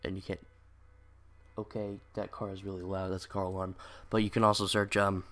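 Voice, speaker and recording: Stuffy-nosed young man, low voice. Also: little pitch movement, moderate pace, fairly bright tone, faint music behind. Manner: rambling, many "like" and "um" fillers. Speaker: card game video creator. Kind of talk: casual unscripted commentary.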